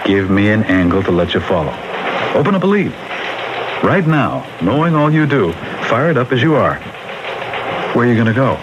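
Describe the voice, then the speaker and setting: A man's voice: fairly low pitch, sing-song, moderate pace, long pauses. An actor playing a pragmatic, world-weary police captain in a 1970s radio drama, patient but firm and emphatic as he reasons. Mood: firm, coaxing. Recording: noisy, muffled old radio broadcast.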